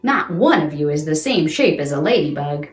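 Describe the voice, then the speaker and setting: A woman's voice: low-pitched, very animated, normal speed. A woman presenting playful science lessons and read-alouds for children in an exaggerated, questioning, exclamatory way. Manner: exaggerated, exclamatory, asks questions of young listeners. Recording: roomy echo with music underneath. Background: music.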